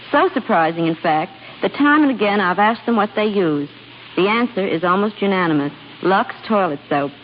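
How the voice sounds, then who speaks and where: A woman giving a scripted, formal and instructive talk as a guest on 1930s radio. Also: medium pitch. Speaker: guest casting director. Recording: dull, noisy 1930s radio broadcast.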